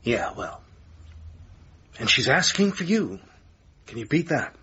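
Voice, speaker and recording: A man acting in a radio drama as a bitter, needling, self-pitying theatre manager and lover, with a husky voice. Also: mid-range voice, sing-song, slow pace, sharp tone, highs cut off, exaggerated voice. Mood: agitated, bitter.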